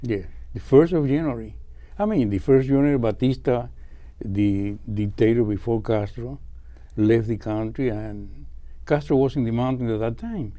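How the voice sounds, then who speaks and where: An older Cuban man speaks in a very dark, mellow voice. He answers politely and pauses to search for his English words. His Cuban Spanish accent is plain. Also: mid-range voice. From Cuba. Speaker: classical guitarist guest. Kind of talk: interview answers.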